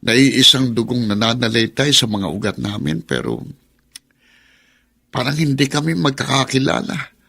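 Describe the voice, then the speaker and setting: Placating male relative, husky creaky voice, animated intonation, halting, wheedling, radio drama. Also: mid-range voice, full character voice. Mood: apologetic, pleading. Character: wheedling, hesitant male relative seeking to make peace.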